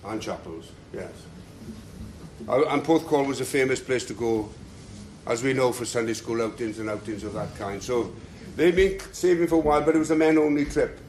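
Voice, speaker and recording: A man giving a talk to a local group and reading passages aloud with slow, dramatic pacing. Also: medium pitch, darkish tone, slight background noise. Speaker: speaker at a talk. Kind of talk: lecture with readings.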